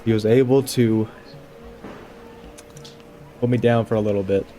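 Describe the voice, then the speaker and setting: A man's voice: on the low side, fairly even intonation, a little slow, warm tone. A man playing in a tabletop roleplaying show, improvising casual in-character talk with music underneath.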